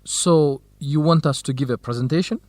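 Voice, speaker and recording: A man's voice: a little high, moderate pace, a little mellow, no echo, natural voice. A man playing a cautious, good-natured young graduate student in an educational radio drama. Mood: curious, unsure.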